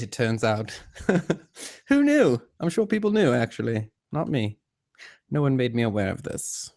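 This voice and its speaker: Male livestream host, medium pitch, fake English accent. Rambling, theatrical, mock-formal, self-deprecating delivery. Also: gravelly, darkish tone. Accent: put-on English. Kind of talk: rambling live chat to viewers.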